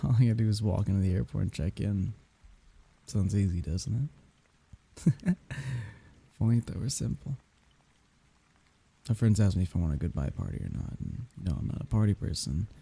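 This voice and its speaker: A man acting a grieving lover saying farewell to his dead beloved in an intimate ASMR audio roleplay, speaking in a wry, tender, halting murmur. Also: dark tone, full character voice. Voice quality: husky, breathy, gravelly low voice.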